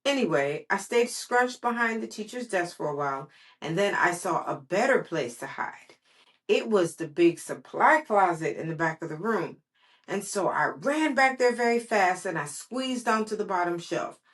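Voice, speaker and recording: A woman's voice: mid-range voice, lively intonation, normal speed, fairly bright tone, close to an everyday voice. Woman reading as blunt, grouchy little kindergarten girl. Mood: proud, confiding. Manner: childlike grammar, blunt and emphatic. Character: grouchy, outspoken little kindergarten girl narrating her school day.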